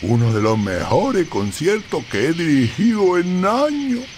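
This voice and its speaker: A man playing a proud, sentimental crab conductor in an animated game, speaking in a grandiose way. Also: a little high, measured pace, slight background noise. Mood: proud, moved. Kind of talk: animated video game dub.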